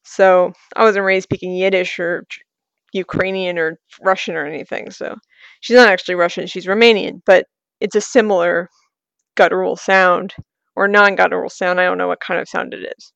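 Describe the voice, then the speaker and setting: A woman's voice: lowish voice, steady tone, normal speed, gravelly, fairly bright tone, clean tone, dry recording. A woman author talking casually about her writing, rambling and self-deprecating, with many asides and restarts. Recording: slightly muffled dry recording.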